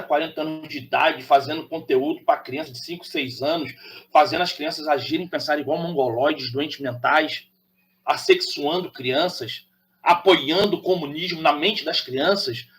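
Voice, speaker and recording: Carioca host, a man with a slightly high voice, emphatic preachy monologue. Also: moderate pace, a bit bright. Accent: Carioca Brazilian Portuguese. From Rio de Janeiro, Brazil. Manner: preachy, emphatic, rhetorical questions. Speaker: channel host. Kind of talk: opinionated monologue to camera.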